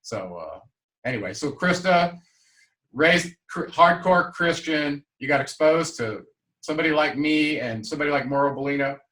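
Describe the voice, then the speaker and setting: A man hosting a podcast panel, asking questions in a halting, casual way with many fillers and restarts. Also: a little high, expressive, pushed and bright, poor audio. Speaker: podcast host. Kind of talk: casual interview chat.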